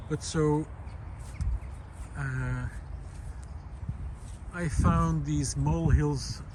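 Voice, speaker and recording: Thoughtful man outdoors, clear background noise. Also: mid-range voice. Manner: hesitant, thoughtful, pausing mid-sentence. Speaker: presenter of a nature and philosophy video series. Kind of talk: unscripted reflective explainer to camera. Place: outdoors in a park.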